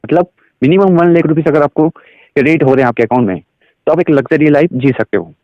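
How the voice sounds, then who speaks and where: A man with an Indian accent and a slightly high voice pitches direct selling on a phone call. He explains step by step and keeps putting questions to the listener, slipping in English phrases. The recording is dry and somewhat muffled. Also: brisk, no room sound.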